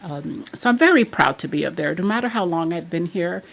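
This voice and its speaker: A woman's voice: on the low side, a little fast, gravelly. A woman in her sixties from Trinidad and Tobago, chatting as a podcast guest and telling stories from her childhood. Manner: chatty and animated, laughs while telling stories. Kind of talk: casual storytelling chat.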